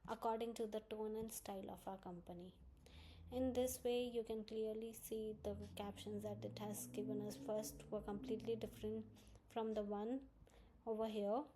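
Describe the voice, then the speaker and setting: A woman narrates a screen-recorded software tutorial in a soft, mellow tone. Her pitch sits in an average range for a woman, and she explains things step by step as an instructor would. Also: slight background noise.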